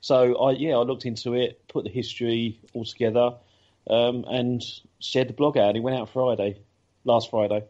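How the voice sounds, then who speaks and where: A man's voice: mid-range voice, flat delivery, moderate pace, dark tone. A man hosting a casual radio chat show, talking with many ums and restarts. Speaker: podcast host. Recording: dull lo-fi audio.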